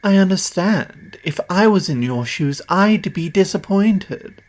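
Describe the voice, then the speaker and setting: A man's voice: high voice, lively intonation, a little slow. A man playing a cocky, condescending, powerful demon who makes deals, performed as a theatrical audio roleplay spoken straight to the listener. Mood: resigned, blunt.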